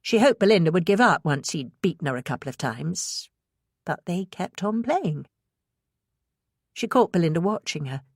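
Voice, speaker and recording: Woman, deep-ish voice, audiobook narration, suspense novel, dry studio-like sound. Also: sing-song, normal speed, no echo. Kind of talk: scripted suspense fiction narration.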